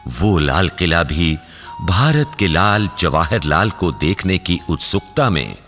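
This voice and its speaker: A man's voice: low-pitched, very animated, slow pace, a bit bright, big-room sound, faint music behind. A man narrating a radio drama from a script in a grandiloquent manner.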